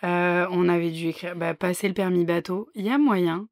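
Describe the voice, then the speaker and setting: A woman's voice: on the low side, fairly even intonation, moderate pace, no echo, clean studio sound. A young adult woman co-hosting a podcast in casual chat, talking freely with lots of fillers and easy laughs. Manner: chatty, laughs easily, many fillers. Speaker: podcast co-host.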